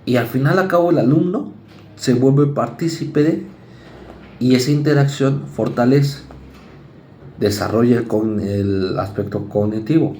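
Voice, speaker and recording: A male university lecturer with a Mexican accent, giving a conversational psychology class and acting out students and parents in quick asides. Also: medium pitch, dark tone, reverberant, slight background noise. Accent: Mexican Spanish.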